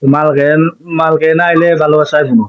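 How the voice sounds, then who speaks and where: A man's voice: on the high side, flat delivery, very fast, smooth, no echo, highs cut off. A young man with an eastern Bengali dialect, chatting casually in a teasing, repetitive way.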